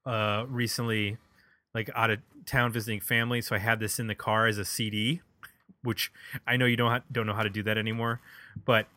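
A man with a bright, forward voice at an everyday middle pitch. He talks casually like a music podcast host, working out his thoughts aloud, and the sound is close and dry. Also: no room sound. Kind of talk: casual album discussion.

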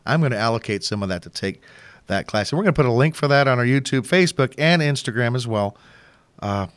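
A man's voice: medium pitch, lively intonation, quick pace, clean tone, no echo. A man hosting a podcast interview, chatty and joking, rambling with frequent restarts. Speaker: podcast host. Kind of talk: casual interview chat.

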